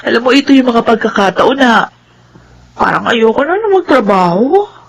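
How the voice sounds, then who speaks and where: A woman's voice: fairly high pitch, sing-song, moderate pace, gravelly, a little hiss or hum. An actress playing an anxious, devoted young mother in a Filipino radio drama, with an emotive, melodramatic delivery. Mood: doting, playful.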